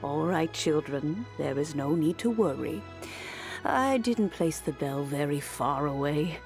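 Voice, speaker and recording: An actress playing a kindly, nostalgic old woman in a video game, speaking slowly and deliberately with a creaky voice. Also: low-pitched, animated. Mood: gently amused. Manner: slow, deliberate, sighing, gently teasing. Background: soft game music.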